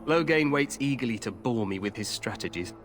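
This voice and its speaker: An actor playing a confident, glory-hungry young king in a fantasy game, regal, grand and expressive. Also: mid-range voice, brisk, over music, lightly acted. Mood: regretful, regal. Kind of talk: fantasy video game acting.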